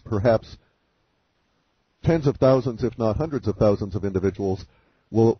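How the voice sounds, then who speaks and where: A man with a fairly low, somewhat rough voice lays out his forecasts in formal, technical language. He speaks deliberately, like someone dictating statements. The sound is dull and dry, as on a conference call. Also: no room sound. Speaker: interview guest presenting his forecasts. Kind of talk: measured conference-call exposition. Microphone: conference call line.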